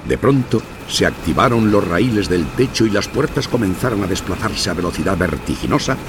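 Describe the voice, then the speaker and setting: A man narrating a children's story in an expressive, theatrical scripted style. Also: low voice, brisk, background noise.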